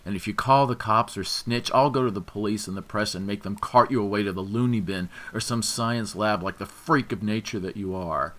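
A man's voice: mid-range voice, expressive, unhurried, bright, forceful tone, pitched above the performer's own voice. A man voicing a drunk, jealous ex-boyfriend who snarls and taunts. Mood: ranting. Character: drunk, jealous, sneering young male ex-boyfriend.

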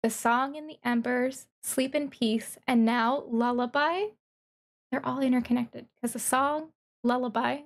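A woman VTuber giving reaction commentary on a game trailer in a thoughtful, analytical, halting way. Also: a little high. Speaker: VTuber streamer.

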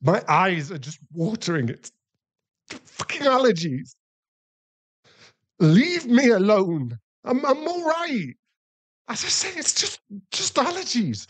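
A man playing a distraught, guilt-ridden werewolf boyfriend in an intimate ASMR roleplay, halting and pleading. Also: high-pitched, huge pitch swings, a bit bright, breathy edge, heavily acted. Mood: tearful, defensive. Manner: halting, pleading, emotionally fragile.

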